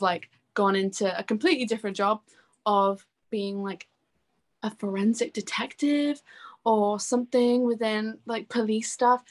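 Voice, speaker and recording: A young woman talking casually and enthusiastically as an interview guest, in a chatty, informal way. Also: medium pitch. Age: young adult. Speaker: interview guest, singer-songwriter. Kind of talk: casual chat.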